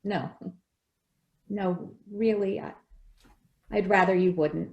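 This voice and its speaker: Tender child's guardian for radio play, played by a woman; mid-pitched, slow, expressive, roomy sound. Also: lo-fi sound. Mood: anxious. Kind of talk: radio play acting and storybook reading. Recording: echoey room.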